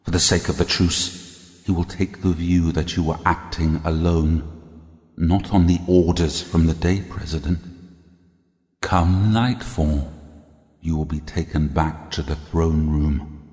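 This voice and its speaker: Man playing a deep, deliberate, scheming royal villain. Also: steady tone, darkish tone. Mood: grave, calculating. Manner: deliberate, commanding, theatrical. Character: scheming, embittered royal elder brother, silky and calculating. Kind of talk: audio drama acting. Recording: long reverberant hall, music behind.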